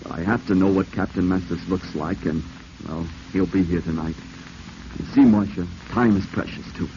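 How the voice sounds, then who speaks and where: A very deep male voice from an actor plays a corrupt, desperate lawman in 1940s radio drama. He is domineering and scheming, with clipped, commanding delivery and a dark tone on a muffled, hissy recording. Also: fairly even intonation, moderate pace, lightly acted. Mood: controlled, scheming.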